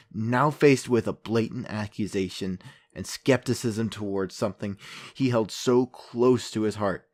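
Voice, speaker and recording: A man's voice: mid-range voice, darkish tone, close to an everyday voice. A man playing a sympathetic investigator narrating a fictional true-crime podcast in a sombre, reflective manner.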